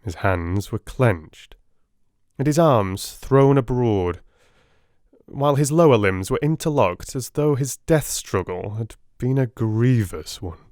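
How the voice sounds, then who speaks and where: A man narrating a classic story as a dramatic audiobook, with theatrical, deliberate phrasing. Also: mid-range voice, expressive, a little mellow, no room sound, clean studio sound.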